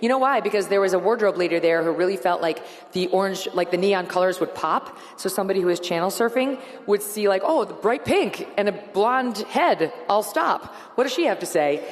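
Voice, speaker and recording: A woman's voice: medium pitch, expressive. A middle-aged woman speaking bluntly and emphatically in an onstage conference conversation. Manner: blunt, emphatic, quick to laugh. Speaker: show host, interview guest. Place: large conference hall stage with live audience. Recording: long hall reverb.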